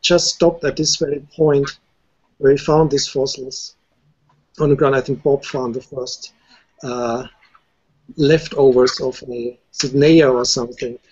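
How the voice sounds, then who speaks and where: A male researcher chatting casually on a video call, recounting things in a lively way. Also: mid-range voice, unhurried, breathy edge, lo-fi sound. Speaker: university researcher. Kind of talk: casual panel chat.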